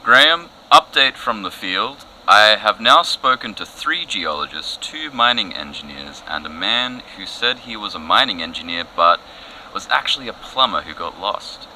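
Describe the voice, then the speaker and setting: An actor playing a weary, matter-of-fact TV field reporter in a satirical audio comedy, factual and deadpan. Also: male voice, lowish voice, moderate pace. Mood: deadpan, insistent. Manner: flat-worded, factual, deadpan. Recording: noisy outside-report ambience behind.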